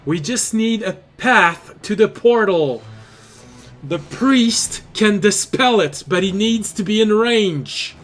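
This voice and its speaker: A man's voice: high voice, expressive, slow pace, a bit bright, faint music behind, pitched above the performer's own voice, exaggerated voice. A man voicing an urgent, protective adventuring companion in a tabletop game, earnest and instructing.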